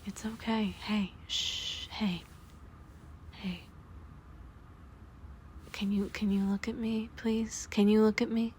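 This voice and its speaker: A woman voicing a gentle young man who softly soothes his frightened partner in a hushed, whispery voice, as in a comfort audio roleplay. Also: medium pitch, flat delivery, takes it slowly, pauses often, a little hiss or hum, pitched above the performer's own voice, natural voice. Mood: soothing.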